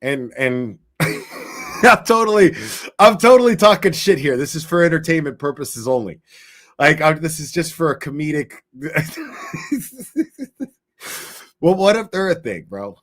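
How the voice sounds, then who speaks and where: A man hosting a live call-in show talks slowly and loosely, his voice a little higher than most men's. He swears freely, breaks into frequent laughter, and drops into mock voices to imitate other people. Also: sing-song, a bit bright. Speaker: show host reacting to a court hearing. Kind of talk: casual profane commentary.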